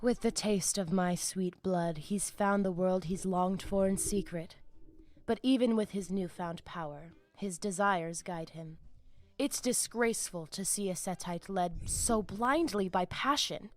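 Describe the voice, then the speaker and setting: A woman's voice: medium pitch, measured pace, lightly acted. An actress playing a proud, zealous female vampire pack leader in a video game, speaking in a solemn, grandiose, threatening manner. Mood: cold menace. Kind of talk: video game character dialogue. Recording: music behind the voice.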